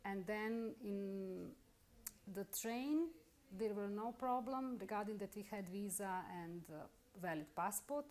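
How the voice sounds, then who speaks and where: A middle-aged woman with a Bosnian accent, carefully telling her own story in halting English during an interview. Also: mid-range voice, darkish tone. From Bosnia and Herzegovina. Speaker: interviewee, lawyer. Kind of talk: personal account in an interview.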